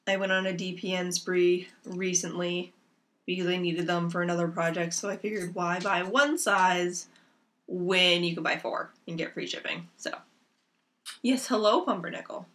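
A young woman hosting a knitting podcast from home, chatting casually to her laptop with lots of ums, her voice a little raspy from a lingering cough. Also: on the low side, fairly even intonation, moderate pace, fairly bright tone. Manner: chatty and rambling, with many ums. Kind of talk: casual chat to camera. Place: small room at home, indoors. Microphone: laptop camera mic. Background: rain outside.

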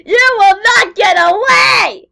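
A woman voicing an excitable, cheeky young female fox with dramatic, drawn-out exclamations in an amateur cartoon comic dub. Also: very high voice, gravelly, pitched above the performer's own voice, exaggerated voice. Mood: defiant.

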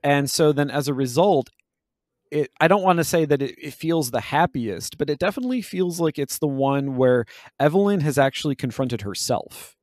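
A man's voice: fairly high pitch, expressive, normal speed, darkish tone, dry recording. Analytical man with close, dry sound. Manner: reflective, academic wording. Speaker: podcast host. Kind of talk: casual analytical discussion.

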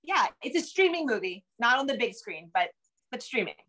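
A woman's voice: a little high, very animated, brisk, bright, forceful tone. A middle-aged woman with an American accent chatting casually as an interview guest on a video call, rambling and self-deprecating. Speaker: interview guest, novelist and screenwriter. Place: home room with bookshelves.

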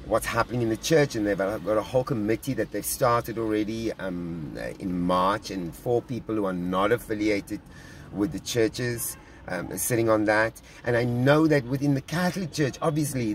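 A man's voice: lowish voice, slight background noise. A middle-aged man with a South African accent, recording himself in a car with his phone and talking earnestly about church news, often stopping and repeating words as he thinks. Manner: earnest, halting, many restarts and repeated words. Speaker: vlogger commenting on church news. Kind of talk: casual spoken commentary. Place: inside a parked car. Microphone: phone camera held at arm's length.

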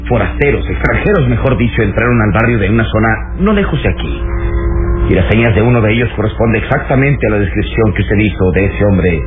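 A tinny old radio drama with music behind. A man plays a sly, servile underworld informant in a low, very expressive voice. He is smug and deferential to his boss. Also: a little fast, close to an everyday voice. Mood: sly, confiding. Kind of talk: old radio serial acting.

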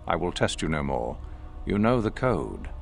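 A very low, creaky-voiced stern master of a dark order. He is formal and deliberate, weighing each phrase, with big swings in pitch. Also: big pitch swings, soft background music, full character voice. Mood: approving, imperious. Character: stern, appraising male Sith academy master. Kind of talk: video game character acting.

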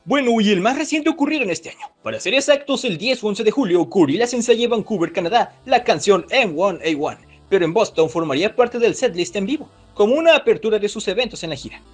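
A man's voice: sits high, lively intonation, fast, hardly any pauses, faint music behind. A man hosting a video with casual scripted commentary, chatting directly to his viewers. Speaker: YouTube host.

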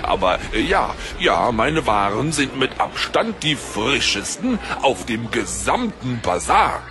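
A man plays a greedy, haughty market baker in an audio drama. His delivery is unhurried and swings widely in pitch, with a seller's polish. Music plays clearly behind him. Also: big pitch swings. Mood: dazed, flustered. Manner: salesman-like, hesitant stammering when flustered.

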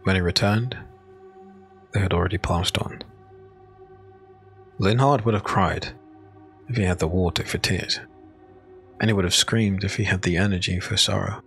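A man giving solemn, measured, dramatic narration of a dark fantasy audiobook. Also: low voice, fairly even intonation, gravelly. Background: music.

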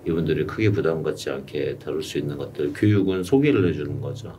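A man's voice: rumbling low, fast, mellow. A middle-aged man coaching a client one-on-one in a small studio room, explaining steadily and patiently. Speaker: YouTube coach. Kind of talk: one-on-one consulting advice. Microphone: desk mic on stand, heard from a distance. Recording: distant mic with faint room noise.

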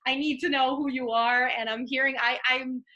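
A woman in her thirties from Serbia with a Serbian accent, speaking haltingly with many fillers and restarts in a video call interview from a small home office. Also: high voice, little pitch movement, sharp tone, dull audio. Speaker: art commissioner. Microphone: video call webcam.

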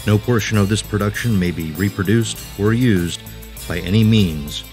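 A man reading a scripted outro and credits as announcer, formal and measured. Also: fairly low pitch, a little mellow, faint music behind.

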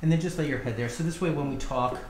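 A middle-aged man, a chiropractor calmly instructing and explaining adjustments to a patient as he works. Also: mid-range voice, quick pace. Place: small indoor treatment room. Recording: echoey room with background noise.

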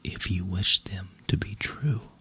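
A storyteller voicing a gracious, gentle young maiden in a bedtime fairy tale, in a breathy whisper. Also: sits low, unhurried, exaggerated voice.

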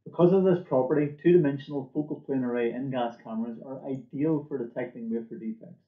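A man's voice: mid-range voice, fairly quick. Man presenting in a muffled, echoey room. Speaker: company presenter. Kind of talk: scripted product presentation. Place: small indoor office or lab room.

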